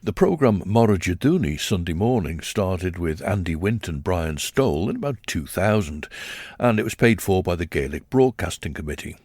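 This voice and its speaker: Male narrator, measured storyteller, low and animated, dry close read. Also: no echo. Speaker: documentary narrator. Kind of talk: scripted radio narration.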